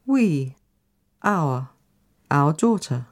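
A woman narrating a scripted language course recording, deliberate and carefully enunciated. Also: sits low, big pitch swings. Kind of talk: scripted language-lesson reading.

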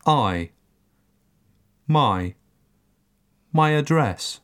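Slow, deliberate man reading a language lesson. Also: fairly high pitch, lively intonation, fairly bright tone. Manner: deliberate, carefully enunciated. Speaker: language course recording narrator.

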